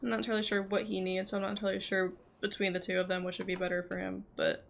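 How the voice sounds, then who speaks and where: A woman's voice: mid-range voice, flat delivery, racing, gravelly, a little hiss or hum. A young woman chatting casually and rambling as she streams a game. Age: young adult. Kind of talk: casual livestream chat while gaming. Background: game music and voices.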